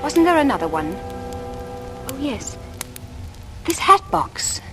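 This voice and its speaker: A woman's voice: sits high, big pitch swings, slow, music in the background, exaggerated voice. An actress in a vintage radio drama playing a suspicious, probing Englishwoman with an intense, clipped manner. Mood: urgent suspicion. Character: earnest, suspicious, probing bespectacled young Englishwoman. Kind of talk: 1940s radio acting. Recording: noisy vintage radio recording.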